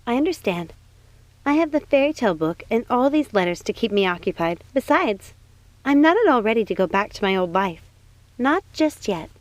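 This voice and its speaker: A woman voicing a gentle, sweet young woman in an audiobook, speaking in an earnest, reassuring manner. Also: a little high, very animated, normal speed, dry recording, pitched above the performer's own voice. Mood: cheerful. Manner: soft-hearted, earnest, reassuring. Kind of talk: audiobook character voice.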